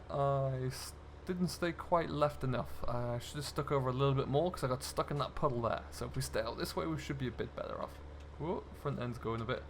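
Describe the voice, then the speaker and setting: A man's voice: fairly high pitch, a little hiss or hum. A man giving casual, chatty commentary as host of a role-play gaming video, thinking aloud in an easygoing way.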